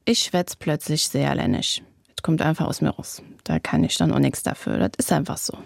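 A woman reading her own radio commentary in a measured, reflective and lightly ironic way. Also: low voice, animated, gravelly, no echo. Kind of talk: scripted radio essay. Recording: dry studio recording.

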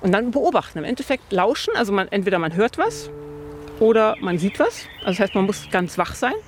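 A woman in her fifties talks in a relaxed, thoughtful way about hunting, full of little fillers. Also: mid-range voice, fairly quick, slight background noise. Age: 50s. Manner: thoughtful, lots of fillers like tatsächlich and also. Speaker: hunter interviewed about her hobby. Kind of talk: relaxed conversational storytelling. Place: outdoors by a field.

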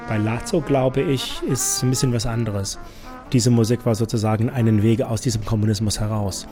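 A man's voice: medium pitch, normal speed, music in the background. A man from New York with an American accent, explaining informally in an interview as a non-native speaker with slightly unidiomatic phrasing. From New York City, USA. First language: English. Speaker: concert series organiser and interpreter.

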